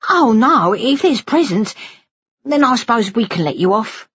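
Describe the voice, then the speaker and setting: A woman acting cheeky young woman student nurse, playful banter, lifted pitch, audiobook drama, slightly muffled. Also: sing-song, a little slow, a bit bright, husky, dry recording, exaggerated voice. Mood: teasing.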